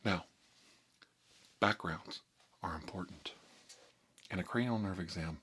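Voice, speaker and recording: Male ASMR host, deep voice, deliberate deadpan mock-doctor instruction, audible background hiss. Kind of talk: mock-tutorial ASMR roleplay.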